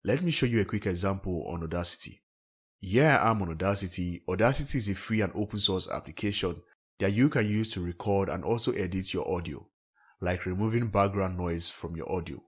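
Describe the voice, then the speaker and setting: A man narrating a scripted step-by-step software tutorial as a tech host. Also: sits low, breathy edge.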